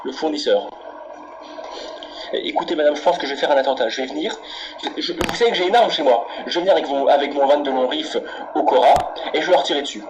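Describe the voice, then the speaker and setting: A man's voice: a little high, quick pace, a crowd in the background, lightly acted. A man putting on a menacing, candy-obsessed angry customer in a prank phone call, ranting and swearing insistently. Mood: indignant. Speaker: prank caller. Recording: very noisy phone prank recording.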